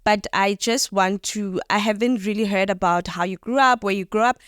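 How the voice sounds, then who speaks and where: A woman's voice: medium pitch, moderate pace, fairly bright tone, clean tone, no echo, studio quality. A woman with a South African accent hosting a casual, conversational podcast interview.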